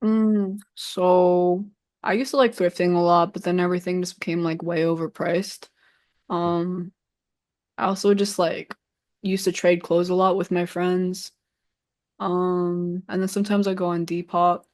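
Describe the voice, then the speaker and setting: A woman's voice: lowish voice, level tone, unhurried, darkish tone. A woman in her twenties whose first language is Cantonese, a streamer chatting in an interview, hesitant and full of "like". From San Francisco Bay Area. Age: 20s. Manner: hesitant, lots of "like" and trailing off. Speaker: streamer guest. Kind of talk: casual interview chat.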